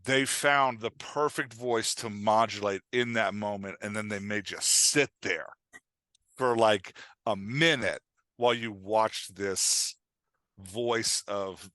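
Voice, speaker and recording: A man talking casually as a podcast guest and writing coach, explaining in an informal, halting way with emphatic check-in questions. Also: on the low side, bright, forceful tone, no room sound.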